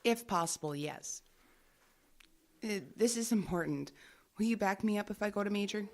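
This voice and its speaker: An actress playing a guarded, businesslike investigator in an audio drama, matter-of-fact and evasive. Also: female voice, medium pitch, expressive, moderate pace, a little mellow, lightly acted. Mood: businesslike, urgent. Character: guarded, businesslike female investigator working undercover.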